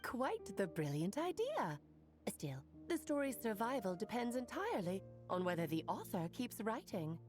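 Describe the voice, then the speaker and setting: A lively, teasing mage. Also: female voice, mid-range voice, moderate pace, over music. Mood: amused. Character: playful powerful woman mage.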